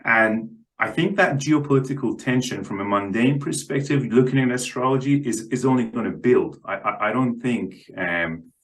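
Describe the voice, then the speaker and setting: A man speaking as a guest analyst, explaining markets conversationally in a careful, halting way, often repeating words. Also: medium pitch, poor audio.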